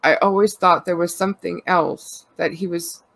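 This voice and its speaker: A woman's voice: on the low side, steady tone, normal speed, creaky, fairly bright tone, dry recording. A Canadian woman with a congested, cold-stuffed voice, chatting candidly and rambling, laughing often. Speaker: podcast co-host. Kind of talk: casual personal chat.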